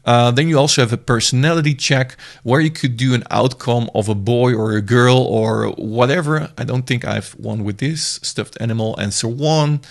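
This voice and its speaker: A man giving a casual, meandering screen-recorded software demo, often restarting his sentences, speaking into a desktop mic at his computer. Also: mid-range voice, gravelly. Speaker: software reviewer.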